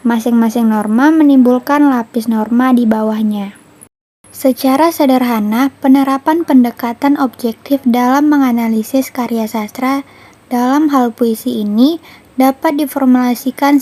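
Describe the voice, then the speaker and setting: Woman, slightly high pitch, scripted lesson reading, reads out citations. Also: darkish tone. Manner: reads citations aloud steadily.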